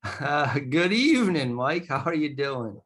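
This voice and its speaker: A man's voice: on the high side, very animated, a little slow. Laid-back joking podcast co-host man. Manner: laid-back, joking, stumbling over words. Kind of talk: casual podcast chat.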